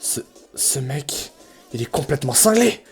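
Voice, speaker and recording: A man playing a frightened, bitter, proud young male creature in a fan comic dub, speaking in a dramatic, halting, stammering way. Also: mid-range voice, big pitch swings, husky, heavily acted. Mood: shocked.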